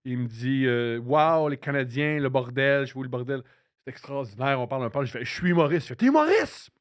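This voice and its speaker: A male comedian with a Québécois French accent, excitedly telling an anecdote in a casual podcast chat. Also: medium pitch, brisk. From Quebec. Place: recording studio.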